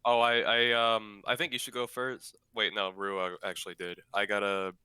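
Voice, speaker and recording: A man's voice: fairly low pitch, level tone, normal speed, bright, forceful tone, clear, resonant tone, no room sound. A man chatting casually and jokingly as a voice actor on a panel.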